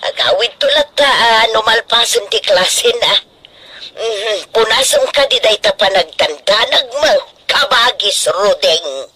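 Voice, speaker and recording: A woman playing a calm, reassuring middle-aged relative in an Ilocano radio drama, soothing and matter-of-fact. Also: a little high, a little slow, rough recording.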